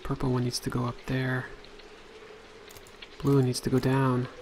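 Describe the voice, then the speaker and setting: A man's voice: mid-range voice, completely flat delivery, normal speed, darkish tone, a little hiss or hum. A man giving casual let's play commentary, thinking aloud as he plays.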